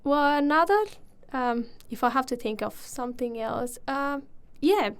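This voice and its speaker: A young woman from Nepal who speaks natively, chatting casually as a podcast co-host, laughing often and pausing on fillers. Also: high voice, smooth. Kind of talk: casual conversation.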